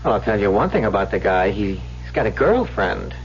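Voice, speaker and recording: A streetwise, greedy hustler, lively swooping delivery, old radio drama, muffled hissy recording. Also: male voice, lowish voice, a little fast. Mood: sly, gloating. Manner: slangy, streetwise, wheedling. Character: cocky, greedy small-time swindler sweet-talking his girlfriend.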